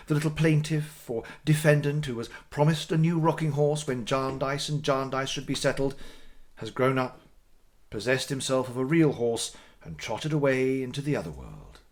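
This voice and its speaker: A man narrating classic literature as a dramatic audiobook, with theatrical, deliberate phrasing and pauses for effect. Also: mid-range voice, expressive.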